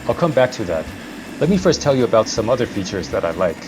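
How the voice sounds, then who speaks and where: A man's voice: lowish voice, very animated, fast talker, background noise. A young man hosting a vlog, narrating a casual product review.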